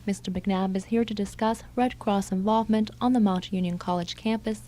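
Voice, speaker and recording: A woman reading scripted lines as a radio host, in a formal, measured way. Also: medium pitch, steady tone, mellow. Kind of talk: scripted college radio interview and announcing.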